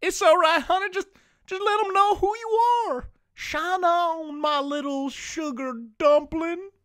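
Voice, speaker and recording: A woman's voice: extremely high pitch, steady tone, a little slow, fairly bright tone. A woman playing a gushing, sugary stage mother. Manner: effusive, sugary, calls her child pet names. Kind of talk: comedy sketch acting.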